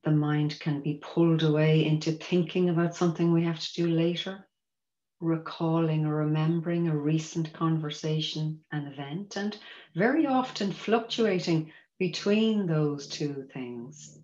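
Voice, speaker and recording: Meditation guide, female, low register, gentle and measured delivery, inviting tone; dull-sounding recording in an echoey room. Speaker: mindfulness teacher guiding a body scan. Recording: roomy echo, dull muffled sound.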